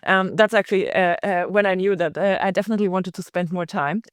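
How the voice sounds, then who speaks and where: A woman hosting a podcast in a casual, halting way, thinking aloud as she goes. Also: lowish voice, steady tone, smooth, no echo, studio quality. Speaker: podcast host. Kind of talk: casual interview chat.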